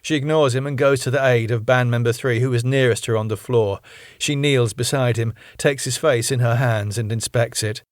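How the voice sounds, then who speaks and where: A man reading his own sitcom script aloud, stage directions included, with a lively delivery. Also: mid-range voice, moderate pace, dry recording, clean studio sound.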